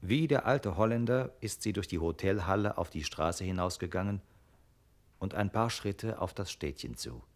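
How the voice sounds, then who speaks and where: A man narrating a literary radio play in measured, long scripted sentences. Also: low voice, lively intonation.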